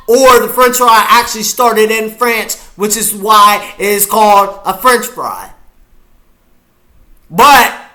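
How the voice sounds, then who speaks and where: A man's voice: high voice, bright, forceful tone. A man chatting goofily to viewers while he reacts to a football game live. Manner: chatty, goofy, talks to viewers. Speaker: streamer watching a football game. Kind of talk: casual live reaction chat.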